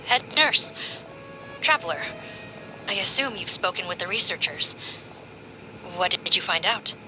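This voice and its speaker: A woman voicing a composed, serious female officer in a video game, speaking in a measured, formal, analytical way. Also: fairly low pitch, animated, noisy background. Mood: grave, analytical. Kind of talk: video game character acting. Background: background music.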